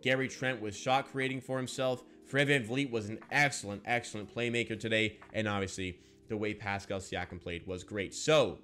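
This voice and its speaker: Bright-voiced young man recapping a game. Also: on the low side, normal speed, few pauses, faint music behind. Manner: energetic, animated fan recap with hand gestures. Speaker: sports reaction host. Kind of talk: post-game basketball breakdown. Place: small room in front of a green screen.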